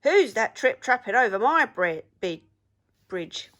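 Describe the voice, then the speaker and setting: A woman's voice: fairly high pitch, big pitch swings, unhurried, fairly bright tone, voice pushed up. A woman voicing a grumpy male troll in a children's storybook, emphatic and hungry for goat. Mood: indignant. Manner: bellowing, emphatic.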